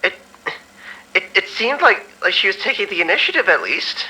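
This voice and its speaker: A man plays a timid young male intern in an audio drama. His voice is high for a man, bright and lively in pitch, stumbling over words in a deferential, placating way. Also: moderate pace, exaggerated voice, poor audio. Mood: nervous, placating. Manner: hesitant, stammering, placating. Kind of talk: amateur audio drama acting.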